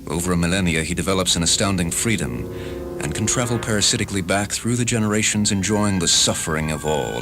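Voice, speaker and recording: A man playing an eloquent, fervent parasitic time traveler from the far future in a radio drama, speaking in a deliberate, grandiose way. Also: sits low. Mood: insistent, confiding. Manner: grandiose, deliberate, relishing suffering. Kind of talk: radio drama acting over music. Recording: voice over a music bed. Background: clear background music.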